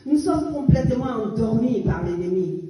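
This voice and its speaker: A woman's voice: a little high, animated, normal speed, dark tone. A woman in her fifties giving a fervent testimony and exhortation in church, with emphatic rising bursts. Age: 50s. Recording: echoey church hall picked up from a distance.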